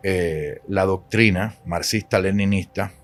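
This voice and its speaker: Deep-voiced Cuban priest, emphatic. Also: male voice, steady tone, unhurried. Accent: Cuban Spanish. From Cuba. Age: 40s. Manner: emphatic, preachy, repeats key words. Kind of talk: podcast interview.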